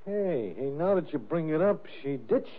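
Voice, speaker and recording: A man's voice: on the high side, big pitch swings, moderate pace. An actor playing a dim, blunt gangster henchman in a 1950s radio mystery, with slangy, ungrammatical tough-guy talk. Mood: suspicious, agitated. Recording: muffled vintage radio recording.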